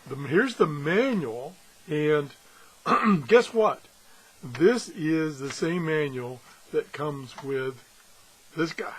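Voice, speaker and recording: A man with a slightly husky voice gives a casual, unscripted hands-on review, pausing and thinking aloud as he goes. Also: on the high side, lively intonation, slight background noise. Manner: halting, thinking aloud as he handles things. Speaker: gadget reviewer and video host.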